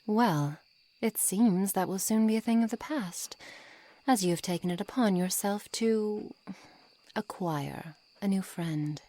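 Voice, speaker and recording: A woman playing a haughty, coolly controlling witch in an ASMR roleplay, speaking formally and deliberately in a breathy voice that often nears a whisper. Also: medium pitch, mellow, lightly acted. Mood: brooding, disdainful. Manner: slow, formal, deliberate, condescending. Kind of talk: ASMR roleplay, scripted monologue to the listener.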